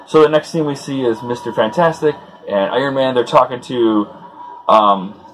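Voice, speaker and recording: A man with an average-pitched voice hosts a comic read-along. He retells the story casually and off the cuff, like an informal fan, slipping loosely into dialogue in his own voice and adding his own commentary. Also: normal speed, poor audio.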